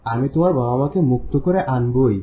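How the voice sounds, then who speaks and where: A man's voice: medium pitch, normal speed, phone-quality sound, natural voice. A man voicing a brave, earnest young orphan hero in a cartoon story, speaking in a reassuring, determined way.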